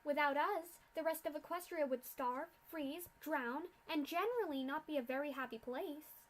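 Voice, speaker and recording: A sadistic female pony scientist, as a woman reader puts her on, sneering through mock-polite lines. Her voice sits high and pushed loud, all theatrical contempt in a storybook reading. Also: normal speed, lightly acted. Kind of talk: fanfic reading.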